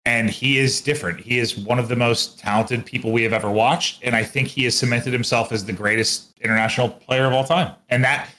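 A man's voice: mid-range voice, fairly even intonation, fairly quick, few pauses, pushed and bright. A man co-hosting a podcast, chatting casually and bluntly with plenty of swearing and colloquial phrasing. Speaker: esports commentator and podcast co-host.

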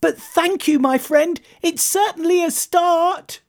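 Casting: little boy moth for a storybook, very high and lively, polite, earnest, eager played by a woman. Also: unhurried. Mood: grateful. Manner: polite, eager, breathless wonder. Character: small, earnest, hopeful young male moth. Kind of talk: children's storybook character voice.

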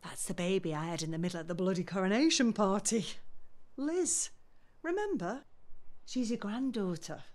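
A woman's voice: medium pitch, lively intonation, moderate pace, darkish tone, close to an everyday voice. An actress playing a patient middle-aged English mother caring for her parent in an audio drama, chatty and coaxing.

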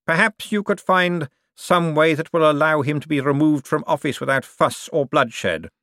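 A man voicing a smug, wealthy bank director in an audiobook, suave and condescending, with drawn-out, menacing hints. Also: a little high, pushed and bright, no room sound, studio quality, voice pushed up. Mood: veiled threat. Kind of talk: audiobook character dialogue.

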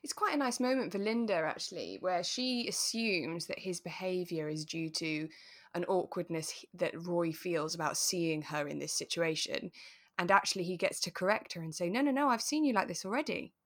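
A British woman co-hosting a podcast in casual chat, with a playful, expressive way of retelling things. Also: lowish voice, normal speed. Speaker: podcast co-host. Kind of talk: casual chat discussing a radio drama.

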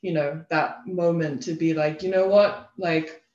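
Casting: young English-accented woman, reflective interview guest, halting conversational flow, echoey and slightly dull recording. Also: deep voice, fairly even intonation, darkish tone. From England. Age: young adult. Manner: thoughtful, halting, frequent "you know" and "like". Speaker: interview guest, self-love advocate. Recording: roomy echo, slightly dull lo-fi sound.

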